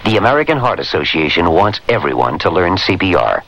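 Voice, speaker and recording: A man's voice: sits low, sing-song, a little fast, a bit bright, highs cut off. A man reading a scripted public service announcement as a radio announcer.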